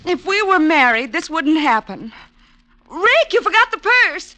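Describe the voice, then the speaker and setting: High, animated, spirited girlfriend. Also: measured pace, heavily acted. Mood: exasperated. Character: spirited, possessive well-to-do girlfriend of a detective. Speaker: radio actor. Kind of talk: 1940s-50s radio drama acting. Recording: hissy old radio recording with clicks.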